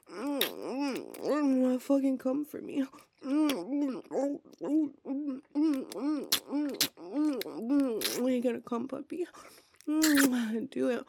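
A woman voicing a dominant, teasing adult female hellhound in an intimate audio roleplay, speaking in a breathy, often whispered voice. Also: on the high side, background noise, exaggerated voice. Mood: teasing, domineering. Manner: slow, sultry, domineering teasing.

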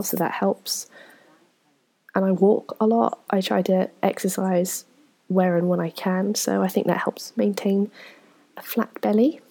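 Young woman, twenties, husky voice, mellow tone, frank and rambling, whispery asides. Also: mid-range voice, poor audio. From England. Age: 20s. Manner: frank, self-deprecating, rambling with many ums and soft whispery asides. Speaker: vlogger answering viewer questions. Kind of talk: casual Q&A chat to camera.

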